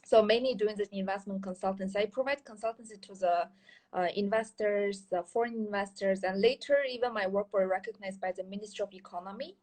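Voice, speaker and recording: A woman's voice: medium pitch, a little fast, darkish tone. A woman in her thirties from Kyrgyzstan, with a Kyrgyz accent, speaking English as a second language. She is a guest in a casual interview and talks earnestly, with many fillers and restarts. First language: Chinese. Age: 30s.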